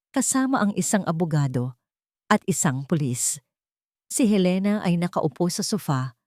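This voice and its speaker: A woman's voice: medium pitch, darkish tone, no echo, studio quality. A woman reading a scripted first-person dramatic story as its narrator.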